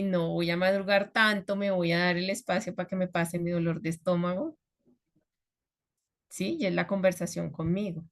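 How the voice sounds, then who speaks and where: Engaging Colombian woman speaker. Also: on the low side. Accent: Colombian Spanish. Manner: engaging, checks in with listeners often. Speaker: communication trainer and guest speaker. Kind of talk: conversational talk.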